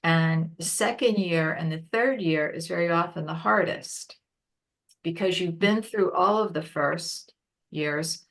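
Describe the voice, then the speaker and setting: A woman presenting an informal webinar, explaining things haltingly and rephrasing as she goes. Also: fairly low pitch, a bit bright. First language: English.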